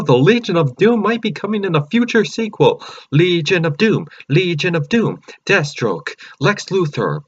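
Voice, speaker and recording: A man hosting his own channel, chatting excitedly and rambling through a casual movie review with many fillers and restarts. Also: sits high, husky, lo-fi sound. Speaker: channel host.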